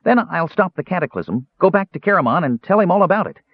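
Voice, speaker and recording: An excitable small kender, put on by a man, chatters in a bright, breathless, much higher voice. The sound is husky and dry, squeezed into a tinny, phone-like band. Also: fast talker, no room sound, full character voice. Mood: excited. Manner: breathless, high and eager.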